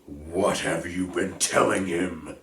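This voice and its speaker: Loud raging centaur guy, a reader putting him on, deep booming voice, echoey room. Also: fairly even intonation, slow pace, far from the mic, a little hiss or hum. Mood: stern. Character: angry proud male centaur, thundering.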